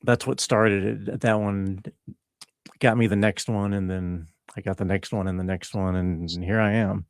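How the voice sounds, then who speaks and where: Slow-talking man with a low voice. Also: steady tone, dry recording, studio quality. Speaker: interview guest, composer and musician. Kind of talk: casual conversational interview talk.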